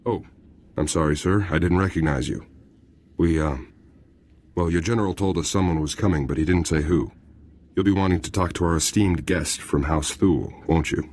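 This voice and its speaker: An actor playing a deferential, chatty military prison guard in a video game, with a folksy, informal manner. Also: male voice, low-pitched, normal speed, a little mellow, a little hiss or hum, lightly acted. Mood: apologetic, chatty.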